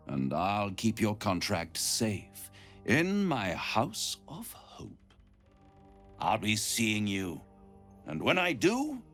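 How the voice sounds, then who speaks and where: An actor playing a suave, scheming devil in a video game, silky, grandiose and menacing, with music underneath. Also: male voice, exaggerated voice. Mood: smug, theatrical. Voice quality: low, gravelly. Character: suave, scheming, gloating male devil making bargains. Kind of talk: dramatic video game acting.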